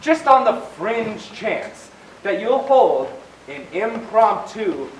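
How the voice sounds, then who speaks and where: A man's voice: high voice, big pitch swings, takes it slowly, full character voice. A man playing an indignant, self-important young debater with earnest, pompous delivery in an amateur stage play. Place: stage in a hall. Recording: echoey hall, distant mic, faint noise.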